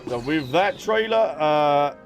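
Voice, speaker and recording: A man's voice: on the high side, animated, takes it slowly. A man livestreaming a game, chatting casually and speaking directly to his viewers over game music. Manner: chatty, addresses viewers by name. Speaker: live streamer.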